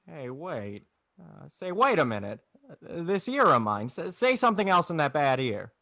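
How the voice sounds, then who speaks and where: A man's voice: a little high, sing-song, moderate pace, sharp tone, no echo, studio quality, heavily acted. A man playing a despairing, wry, bewildered family man with a stammering, folksy manner, in 1940s radio drama style. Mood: bewildered, agitated. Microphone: video call.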